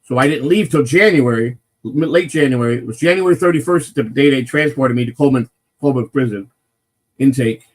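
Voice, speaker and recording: Loud guy on a home live stream, mid-pitch, rambly, swears a lot, keeps restarting his sentences. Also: fairly quick. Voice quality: naturally loud voice. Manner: rambling, swears freely, restarts and voices others in quotes. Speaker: morning show host telling a personal story. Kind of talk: casual live-stream chat, storytelling.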